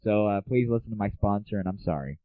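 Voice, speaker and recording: A man's voice: fairly low pitch, quick pace, no echo. A man hosting a casual solo show in an informal, self-deprecating way on a cheap home microphone. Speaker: show host. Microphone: cheap malfunctioning home microphone. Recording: tinny lo-fi home recording, faulty microphone.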